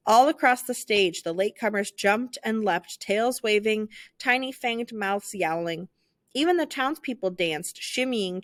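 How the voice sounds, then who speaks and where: Woman reading a kids picture book out loud, theatrical storyteller, dry clean sound. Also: medium pitch, no echo. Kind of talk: expressive scripted children's story narration.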